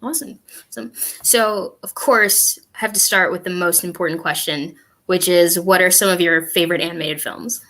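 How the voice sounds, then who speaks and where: A woman's voice: fairly low pitch, fairly even intonation, normal speed, pushed and bright. A young woman hosting a livestream over a video call, asking interview questions in an easygoing, friendly way. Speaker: livestream host. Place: small room at home.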